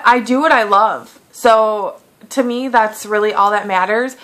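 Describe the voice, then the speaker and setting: A woman in her late twenties chatting through a casual beauty Q&A vlog, full of fillers, with a lingering cough. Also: a little high. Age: 20s. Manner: chatty, lots of fillers, animated quoting. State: lingering cough, clearing throat. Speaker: beauty vlogger.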